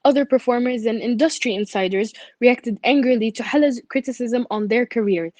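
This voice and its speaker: A woman's voice: on the high side, a little fast, darkish tone, no room sound. A woman reporter closing a scripted news segment. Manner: read news copy.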